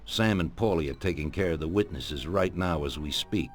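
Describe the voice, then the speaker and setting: Man playing a deep, commanding mafia boss. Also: soft background music, natural voice. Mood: calculating, grave. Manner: measured, commanding. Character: authoritative, calculating older mafia boss giving orders. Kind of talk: dramatic video game dialogue.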